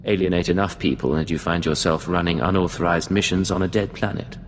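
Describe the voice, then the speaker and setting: An actor playing a cool, guarded imperial intelligence agent in a video game, speaking in a terse, composed way. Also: male voice, deep voice, steady tone, a little fast, in a large hall, slight background noise, natural voice. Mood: dry, composed. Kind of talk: video game dialogue acting.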